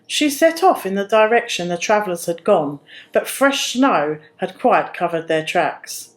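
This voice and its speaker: Woman, storyteller, children's storybook narration, unhurried pace, animated intonation, careful delivery. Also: mid-range voice. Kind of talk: expressive storybook reading for children.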